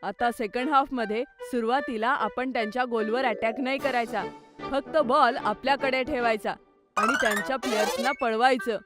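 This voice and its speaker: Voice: medium pitch, steady tone, moderate pace, music in the background, close to an everyday voice. An actor voicing an eager, earnest schoolboy team captain in a children's cartoon. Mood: determined. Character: Gattu, keen schoolboy football team captain.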